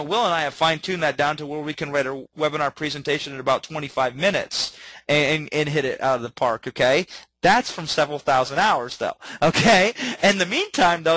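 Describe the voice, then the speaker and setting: A man teaching a webinar in an energetic way, often checking in with okay and all right. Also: a little high, brisk, no echo, low-quality recording. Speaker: webinar trainer.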